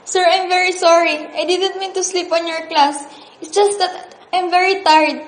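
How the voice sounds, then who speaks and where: A woman's voice: extremely high pitch, steady tone, normal speed. A woman playing a tired, apologetic young student in an amateur radio drama. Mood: pleading. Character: meek, apologetic tired eighteen-year-old female student. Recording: echoey room.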